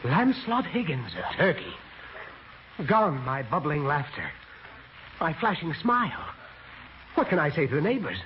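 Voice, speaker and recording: A man's voice: a little high, wildly swooping pitch, unhurried, pauses often. An actor playing a flustered, cowardly, blustering father in theatrical 1940s radio comedy. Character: blustering, fretful, cowardly father, dreaming he's a turkey. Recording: noisy, dull vintage radio broadcast.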